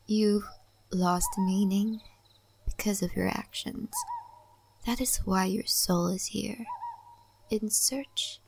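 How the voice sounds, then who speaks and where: A woman playing an ancient, wise and cryptic spirit-realm lady in a soft, breathy ASMR roleplay. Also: mid-range voice, slight background noise. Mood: calm, mysterious. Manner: soft, breathy, often whispering, unhurried.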